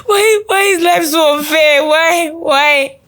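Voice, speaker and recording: A woman's voice: very high voice, slow, a bit bright, exaggerated voice. A woman playing a tearful, defiant young woman. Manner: tearful, pleading. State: crying. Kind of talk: web drama acting.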